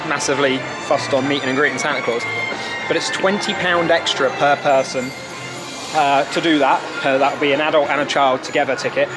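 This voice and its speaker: A man's voice: medium pitch, steady tone, a little fast. A British man in his twenties giving chatty, informal vlog narration to camera on a handheld camera outdoors at a theme park. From Britain. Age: 20s. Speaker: travel vlogger, host. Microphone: handheld camera at arm's length. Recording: music over outdoor theme park sound.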